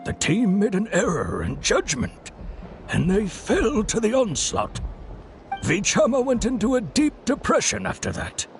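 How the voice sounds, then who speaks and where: A high-pitched, earnest young man from a video game. His intonation swings widely as he recounts things with somber concern and slight hesitation. Music plays behind him. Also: huge pitch swings, unhurried, exaggerated voice. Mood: somber, explanatory. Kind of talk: scripted game dialogue, storytelling.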